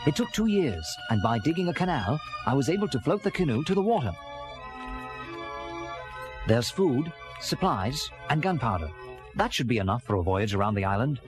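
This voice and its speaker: A man's voice: mid-range voice, sing-song, normal speed, natural voice. An actor playing an earnest castaway sea captain, telling his story in theatrical first-person storybook narration. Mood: reflective. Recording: music bed under early-1980s children's record. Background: dramatic background music.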